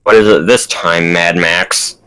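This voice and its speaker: A man's voice: low-pitched, steady tone, measured pace, bright, forceful tone, clear, resonant tone, voice pushed down, poor audio. A man voicing a jaded, sarcastic cook in a comedy script read-through, dry and weary.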